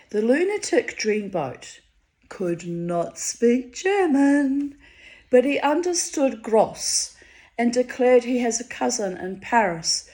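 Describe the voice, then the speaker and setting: A Hungarian-born woman reading her own diary entries aloud, wry and theatrical, her voice swinging high and low for effect. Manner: wry, theatrical, dramatic swings of pitch and loudness.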